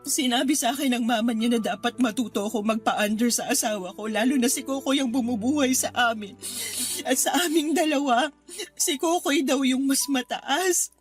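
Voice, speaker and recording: Actress playing a tearful, high-voiced Filipino young wife. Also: female voice, moderate pace, soft background music, close to an everyday voice. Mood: worried, wounded. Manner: tearful, plaintive. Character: hurt, anxious betrayed wife and young mother. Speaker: radio drama actress. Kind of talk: Tagalog radio drama acting.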